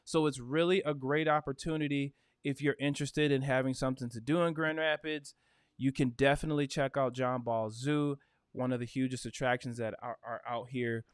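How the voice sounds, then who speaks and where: A man's voice: medium pitch, steady tone, fairly quick, clear, resonant tone, no echo, studio quality. A man, a realtor chatting casually and upbeat on a livestream, with plenty of "uh" and "you know". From Michigan. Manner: chatty, upbeat, with frequent fillers.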